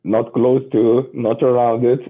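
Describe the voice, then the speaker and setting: A man's voice: mid-range voice, level tone, a little slow. A man in his forties from Tunisia, a podcast guest talking casually with many hesitant fillers, heard over a phone line. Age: 40s. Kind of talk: casual interview chat.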